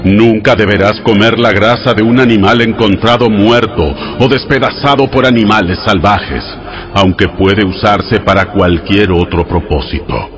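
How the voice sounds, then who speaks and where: Man playing deep, solemn voice of God over music. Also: close to an everyday voice. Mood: solemn, commanding. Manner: solemn, measured, authoritative. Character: God, solemn commanding male divine lawgiver. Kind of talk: dramatized audio Bible acting.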